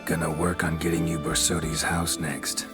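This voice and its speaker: An actor with an American accent playing a gruff, laconic monster hunter in a video game, terse and deadpan. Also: male voice, very deep voice, moderate pace, over loud music. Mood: dry.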